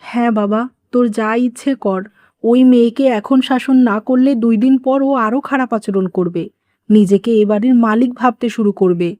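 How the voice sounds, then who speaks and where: A woman's voice: on the high side, normal speed, a little mellow, no echo, lightly acted. A woman voicing a greedy, domineering middle-aged mother-in-law in a moral-story cartoon, scolding and pushy. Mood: indignant, goading.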